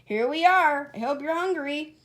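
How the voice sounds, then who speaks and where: A woman's voice: sits high, moderate pace, pitched above the performer's own voice, lightly acted. A woman voicing a cocky but awkward young male hedgehog hero in a storybook, stammering and boastful. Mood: casual.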